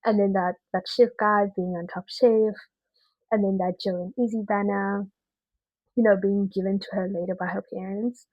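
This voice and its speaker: A woman giving a casual, chatty video review in an expressive, slangy way with emphatic repetition. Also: mid-range voice, normal speed, no room sound, muffled recording.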